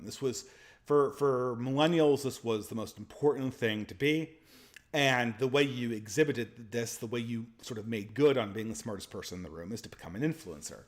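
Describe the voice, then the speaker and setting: Nasal-voiced man, stammering commentary. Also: medium pitch. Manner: speech impediment, frequent stammered restarts. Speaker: channel host. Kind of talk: casual monologue commentary.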